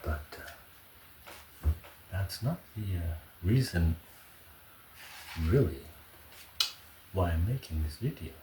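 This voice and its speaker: Male ASMR host, low register, very unhurried delivery, murmured asides and hums; echoey home recording with audible noise. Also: lively intonation. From Canada. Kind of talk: ASMR, casual cooking chat. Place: indoors at home kitchen. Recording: roomy echo, clear background noise.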